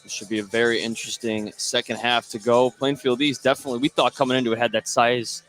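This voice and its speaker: A man's voice: mid-range voice, little pitch movement, fast, a bit bright, soft background music, low-quality recording. A man calling live basketball play-by-play from beside the bleachers in a gym. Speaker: play-by-play announcer. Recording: clear gym noise behind voice. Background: gym ambience.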